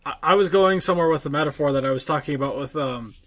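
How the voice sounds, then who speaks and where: Male podcast co-host, a little high in pitch, rambling, halting, sweary casual talker. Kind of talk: casual chat.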